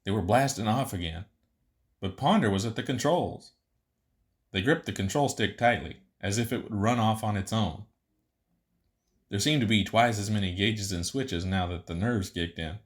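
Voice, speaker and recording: Guy narrating a scripted story, fairly low voice. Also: moderate pace.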